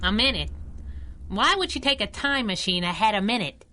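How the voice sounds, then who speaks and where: A woman's voice: medium pitch, expressive, normal speed, very noisy, close to an everyday voice. A woman playing a brassy, jealous superhero sidekick in a 1930s-style radio serial, sassy and slangy. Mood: grudging.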